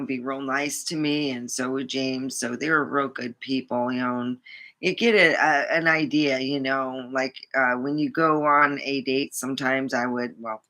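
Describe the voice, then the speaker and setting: A woman's voice: a bass voice, sharp tone. A woman vlogger chatting casually and rambling, with many fillers and restarts.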